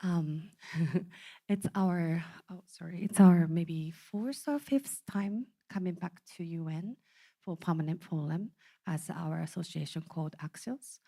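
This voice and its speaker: A woman in her forties from Okinawa with a Japanese accent, giving a talk to a community gathering, halting and full of fillers. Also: on the low side, warm tone. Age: 40s. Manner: halting, many fillers and restarts. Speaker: speaker.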